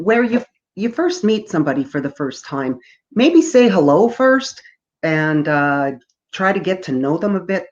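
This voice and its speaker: Casting: female author from Canada, low register, storytelling with casual asides, slightly dull recording. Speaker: author reading from own novel. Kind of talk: storytelling reading with informal asides.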